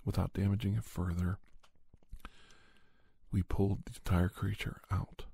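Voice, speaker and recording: Male actor as grave, gentle doctor, paranormal investigator narrating a podcast tale. Husky, hushed, very dry close sound. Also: low-pitched, little pitch movement, a little slow, no room sound, exaggerated voice. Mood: grave, suspenseful. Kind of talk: ASMR scripted storytelling.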